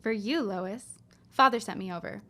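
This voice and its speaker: A woman's voice: mid-range voice, normal speed, fairly bright tone, close to an everyday voice. An actress playing an eager, helpful young woman, earnest and emphatic, in a period audio drama. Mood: excited, earnest. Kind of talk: period radio-style audio drama acting.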